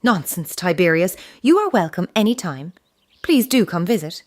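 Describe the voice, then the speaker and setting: A polite roman hostess in an audio drama, lowish voice that moves around a lot, reassuring. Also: female voice, big pitch swings, moderate pace, a little mellow, dry recording, studio quality. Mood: gracious. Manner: courteous, reassuring. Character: gracious Roman noblewoman hostess.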